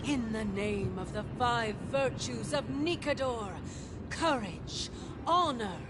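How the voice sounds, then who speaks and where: Casting: scornful arena herald for a fantasy game, high voice, slow grand delivery, music underneath. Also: female voice, animated. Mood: grand, solemn. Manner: declamatory, scornful. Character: haughty, mocking female herald of a brutal warrior festival. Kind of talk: grand theatrical game voice acting.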